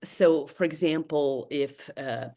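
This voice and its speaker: A woman's voice: low-pitched, slow pace, dark tone. Woman in her fifties, hesitant webinar lecture. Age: 50s. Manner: hesitant, many fillers and restarts. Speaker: therapist giving a webinar talk.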